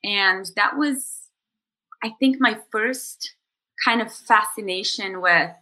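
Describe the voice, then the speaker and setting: A woman's voice: medium pitch, takes it slowly, sharp tone. A woman from Bulgaria in her thirties, a coach talking through her story as a podcast guest in a reflective way, with many fillers and restarts. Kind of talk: podcast interview conversation.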